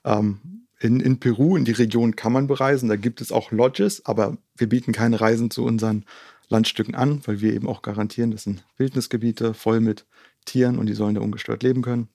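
A man from Germany with a mid-pitched voice talks as an interview guest. He explains step by step and in detail, often adding little confirmation tags. The sound is dry, with very little room. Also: fairly even intonation, fairly quick, mellow, no room sound. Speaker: conservation organisation co-founder, interview guest.

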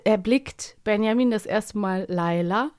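A woman chatting casually as a podcast host, retelling stories in an amused, animated way. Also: medium pitch, measured pace, clear, resonant tone. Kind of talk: casual chat, retelling audio drama plots.

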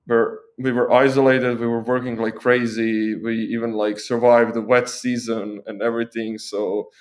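A man's voice: medium pitch, flat delivery, moderate pace. A young man from Croatia with a Croatian accent, a guest talking casually and thoughtfully in an interview, often restarting his phrases. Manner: thoughtful, with frequent restarts and fillers.

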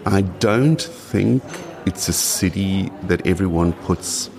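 A South African man in his late forties with a very low, gravelly voice. He talks casually and chattily as an interviewee, restating phrases for emphasis, over audible background chatter. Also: takes it slowly. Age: late 40s. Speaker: podcast guest.

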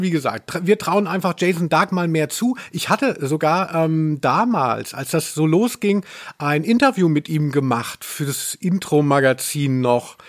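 Higher-pitched man chatting on a podcast. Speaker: podcast co-host. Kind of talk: casual podcast discussion about radio plays.

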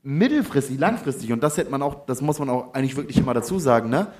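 A man's voice: medium pitch, lively intonation, fast. A man from Hamburg, talking animatedly in slangy casual chat and often restarting his sentences. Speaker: guest.

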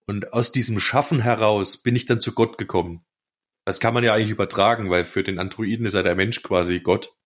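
A man's voice: on the low side, breathy edge. A man chatting casually as co-host of a podcast. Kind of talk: casual chat.

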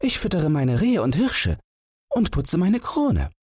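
A man's voice: a little high, wildly swooping pitch, a little slow, darkish tone, breathy edge, no room sound, clean studio sound, pitched above the performer's own voice, heavily acted. A man voicing a cheerful, curious young princess in a fairy tale audiobook, chatty, playful and artless. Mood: cheerful, carefree.

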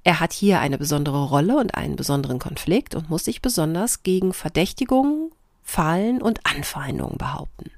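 A woman's voice: low-pitched, expressive, fairly quick, a little mellow, dry recording, studio quality. A woman hosting a podcast, reading aloud and talking things through. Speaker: podcast host. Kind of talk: podcast talk, reading out written answers and chatting.

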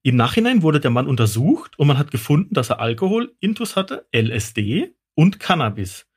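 Guy, bit high voice, slightly rough, casually explaining stories on a podcast. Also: sing-song, normal speed. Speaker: toxicologist guest expert.

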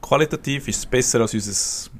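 A man hosting a casual podcast in Swiss German, joking and relaxed in his banter. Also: medium pitch, a little fast. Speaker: podcast host. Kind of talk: casual chat.

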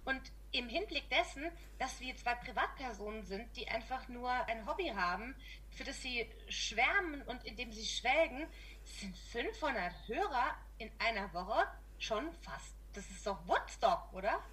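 Woman, podcast co-host, quick and passionate, bright, light background noise. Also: fairly high pitch. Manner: fast, passionate, expressive talk. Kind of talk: casual history podcast chat.

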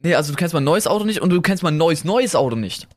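A man's voice: on the high side, animated, fast talker, no echo, clean studio sound. A young man chatting in slang as a podcast co-host, jokey and ironic. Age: young adult. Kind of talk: casual chat with slangy banter.

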